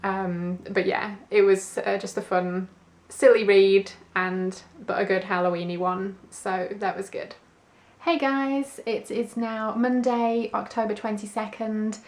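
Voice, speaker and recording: A woman's voice: mid-range voice, steady tone, unhurried. A woman chatting informally and a little ramblingly to camera in a book vlog, with an understated manner. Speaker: book vlogger. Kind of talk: casual chat to camera, reading diary and book review. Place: indoors at home.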